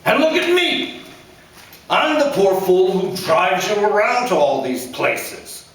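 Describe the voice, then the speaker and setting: A man's voice: sits high, very animated, slow, fairly bright tone, voice pushed up, heavily acted. A man voicing an angry, belittling middle-aged drunk father, ranting and sarcastic, heard in an echoey room from far off.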